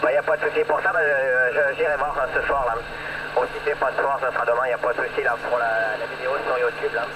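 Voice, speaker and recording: A man's voice: medium pitch, little pitch movement, fast. A man from France chats casually as a radio operator over a two-way radio, rambling and repeating himself. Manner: rambling, repeats himself, ends phrases on tags. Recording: clearly noisy two-way radio transmission.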